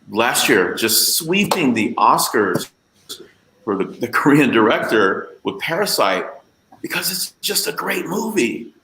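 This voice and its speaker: A man with a very deep voice speaks on a panel, earnest and emphatic, often pausing on fillers. His sound rings in an echoey room, as on a video call. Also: bright, forceful tone, a little hiss or hum. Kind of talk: panel discussion.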